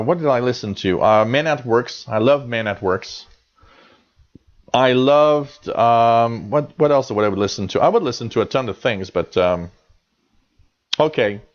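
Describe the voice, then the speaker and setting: Canadian guy in his 40s hosting a live radio chat, rambly, lots of ums, kinda muffled lo-fi sound. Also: medium pitch. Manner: chatty, rambling, many fillers and restarts. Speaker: radio show host reading chat.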